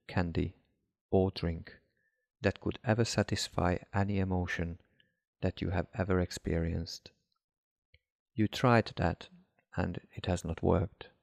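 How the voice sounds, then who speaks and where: Deep voiced guy doing a hypnosis relaxation script, measured n deliberate, really dry close recording. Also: gravelly, darkish tone, no echo. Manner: measured, instructive, deliberate phrasing with pauses. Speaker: hypnotherapist guiding a session.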